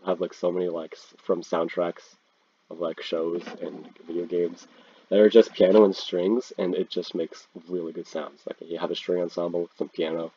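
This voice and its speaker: Congested young guy, low husky voice. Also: warm tone. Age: teens. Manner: laid-back, slangy, swears freely. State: congested from a cold. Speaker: video creator ranking instruments. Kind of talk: casual screen-recorded commentary. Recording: dull, lo-fi, dry sound.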